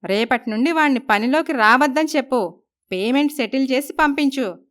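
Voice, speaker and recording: A woman acting a high-voiced, haughty bossy young woman. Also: moderate pace, a bit bright. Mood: demanding. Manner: haughty, scolding, commanding. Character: arrogant, bossy young businesswoman who bullies her husband. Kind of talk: dramatised moral story voice acting.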